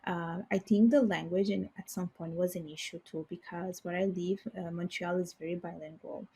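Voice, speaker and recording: A young woman whose first languages are Bengali and Italian talks through her career story in a relaxed interview. Her voice sits a little low and has a husky edge. She rambles warmly with fillers and restarts. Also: normal speed. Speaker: guest, finance professional. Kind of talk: casual interview conversation.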